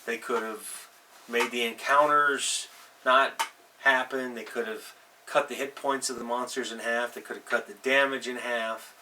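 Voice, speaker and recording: A middle-aged man hosting an unscripted advice vlog as a game master, speaking haltingly and leaning hard on stressed words. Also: mid-range voice, steady tone, sharp tone. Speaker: host, tabletop game master. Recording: distant mic with faint background noise.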